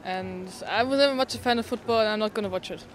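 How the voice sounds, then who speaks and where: A woman's voice: medium pitch, quick pace. A young woman answering a street interviewer, giving her opinion earnestly with plenty of fillers. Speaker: passer-by interviewee. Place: city street outdoors. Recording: faint street noise outdoors.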